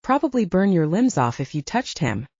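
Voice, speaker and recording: A woman's voice: lowish voice, sing-song, normal speed, a little mellow, no echo, lo-fi sound. A woman voicing a cool, sardonic, protective male werewolf with a dry, understated manner in an audiobook. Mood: cool mockery. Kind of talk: audiobook character acting.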